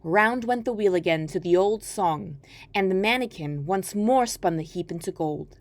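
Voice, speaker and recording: A woman storyteller reading a folktale aloud in an expressive, scripted narration style. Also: medium pitch, normal speed.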